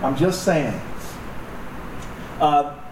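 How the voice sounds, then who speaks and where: A man's voice: a little high, lively intonation, drawn-out words. A man preaching a sermon to a congregation in a conversational, joking way with asides to the audience. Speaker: pastor. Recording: echoey room, distant mic, noisy.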